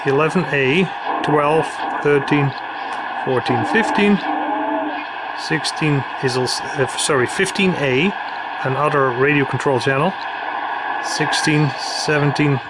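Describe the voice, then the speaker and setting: Man demonstrating gear over loud static. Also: fairly high pitch, slow pace. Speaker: radio hobbyist demonstrator. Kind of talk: informal equipment demonstration. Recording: loud radio static and noise.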